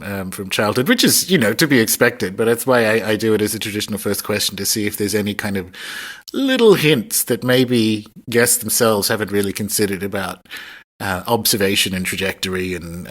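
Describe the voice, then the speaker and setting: Male podcast presenter and interviewer, lower-pitched voice, conversational show delivery. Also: fairly bright tone. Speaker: podcast host. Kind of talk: scripted podcast introduction, then casual interview. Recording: recorded in a pub, cleaned up.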